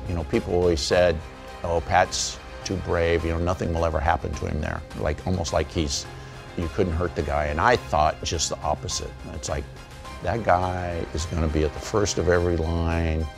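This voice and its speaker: A man reflects in a casual, conversational interview style. His voice is very low with heavy fry, and music is prominent underneath. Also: little pitch movement, moderate pace.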